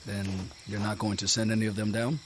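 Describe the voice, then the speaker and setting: An actor playing a dutiful, concerned second lieutenant in a radio drama, respectful and measured. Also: male voice, on the low side, level tone, slight background noise, close to an everyday voice. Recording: radio drama with music underneath.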